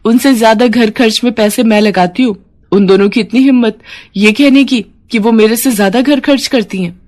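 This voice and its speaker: A woman's voice: on the high side, normal speed, darkish tone, natural voice. A woman voicing a proud working daughter-in-law, indignant and childishly argumentative, in a family story. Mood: offended.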